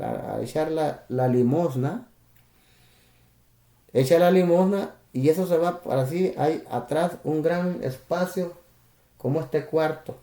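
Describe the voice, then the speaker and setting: A man's voice: fairly high pitch, mellow, echoey room. A man from El Salvador with a Salvadoran Spanish accent, a pastor preaching in a conversational way on a live stream, with rhetorical questions and asides to his listeners.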